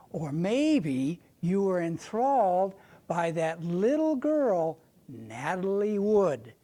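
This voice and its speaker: An older American woman from Ohio giving a prepared, theatrical stage talk, deliberately addressing her audience and reminiscing. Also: medium pitch, warm tone. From suburban Ohio, United States. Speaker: presenter, readers' theater member.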